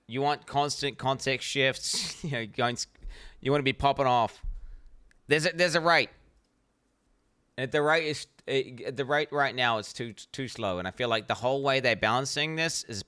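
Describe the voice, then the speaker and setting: A man's voice: mid-range voice, sharp tone, clear, resonant tone, no echo, clean studio sound. A man with a New Zealand accent reacting on a stream, talking bluntly and profanely, rambling with frequent restarts. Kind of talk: casual reaction stream commentary.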